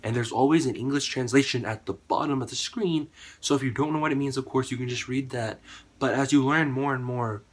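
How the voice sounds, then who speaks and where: A man's voice: mid-range voice, fairly even intonation, fairly quick. A man with a cold and a partly lost voice, talking casually to camera in a rambling, conversational way. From United States. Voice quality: husky. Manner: rambling, conversational, with many fillers. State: sick, voice partly gone. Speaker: YouTuber.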